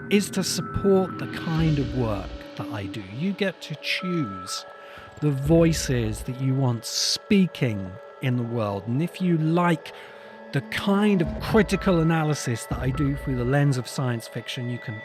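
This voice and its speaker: A man speaking as a podcast host in an explanatory lecture style, with measured, deliberate phrasing. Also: mid-range voice. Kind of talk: explanatory lecture to camera or audience.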